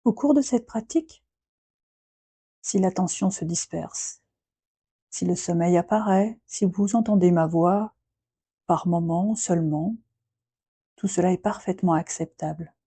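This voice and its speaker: Female meditation guide: slow, soothing delivery with long pauses, soft breathy tone, close dry sound. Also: fairly low pitch, no room sound. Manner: gentle, unhurried, with long pauses between short phrases. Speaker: yoga nidra guide.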